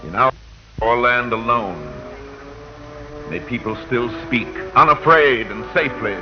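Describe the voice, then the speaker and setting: Male actor as solemn 1940s radio-drama orator, poetic and declamatory, mid-pitched, old lo-fi sound with music behind. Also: lively intonation, measured pace, close to an everyday voice. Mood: grave, commanding.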